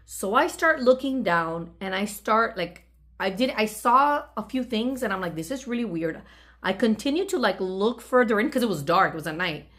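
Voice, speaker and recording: A woman's voice: mid-range voice, animated, normal speed, a bit bright. A woman hosting her own channel, telling a personal story in a casual, conversational way, acting out bits of dialogue as she goes. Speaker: video channel host.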